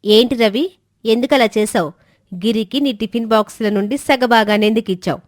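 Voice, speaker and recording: Woman playing proud young schoolboy, high voice, hot-tempered, children's story dialogue, dry close sound. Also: fairly quick, dry recording, close to an everyday voice. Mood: scolding, scornful. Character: hot-tempered, arrogant schoolboy, later remorseful.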